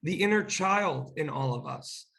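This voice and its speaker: A man's voice: a little high, animated, measured pace, gravelly, a bit bright. A man hosting an interview, effusive and eager, heaping praise on his guest. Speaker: interview host.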